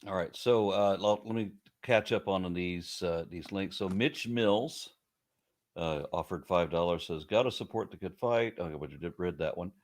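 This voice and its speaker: Man with a low, lower-register voice, middle-aged, livestream host, reading viewer messages aloud with brief remarks in between, casual and unhurried. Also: darkish tone. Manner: reads messages aloud, then comments briefly.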